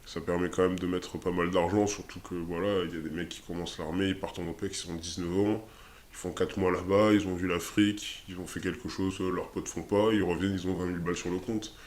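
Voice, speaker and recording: A man in his twenties with a very deep voice, answering interview questions in a relaxed way. He speaks hesitantly, with many fillers and restarts as he looks for his words. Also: a little fast, a little hiss or hum. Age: 20s. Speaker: interviewee, army soldier.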